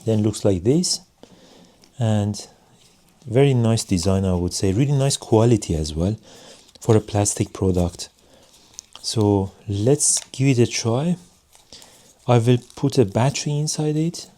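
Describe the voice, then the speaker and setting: A man giving a casual hands-on product review in measured, non-native English phrasing. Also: lowish voice, expressive, mellow. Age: adult.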